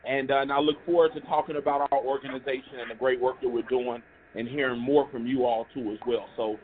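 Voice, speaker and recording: A man's voice: medium pitch, fairly even intonation, quick pace. A man from Chicago introduces himself politely and upbeat over a phone line. His voice sounds thin and narrow, with faint noise underneath. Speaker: nonprofit founder guest. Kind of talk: self-introduction on a call.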